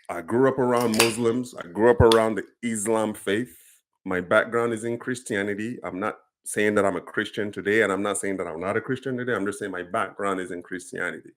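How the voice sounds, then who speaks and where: A man's voice: fairly low pitch, moderate pace, a little hiss or hum. A Nigerian man talking casually to camera, explaining things earnestly, with plenty of ums and restarts. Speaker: commentator.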